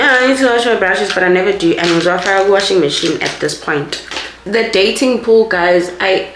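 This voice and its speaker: A woman's voice: medium pitch, roomy sound, a little hiss or hum, rough recording. Chatty South African woman in her twenties. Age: 20s. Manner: rambling, animated, mixes in local words. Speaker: vlogger. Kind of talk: casual chatty makeup vlog. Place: home room, doing makeup.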